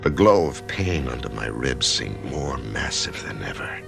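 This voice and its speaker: An actor playing Norman, an anxious man facing surgery, who narrates his own story in a halting, frightened way. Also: extremely low pitch, lively intonation, gravelly, full character voice. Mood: reflective. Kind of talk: 1990s radio drama acting. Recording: remastered radio drama with music.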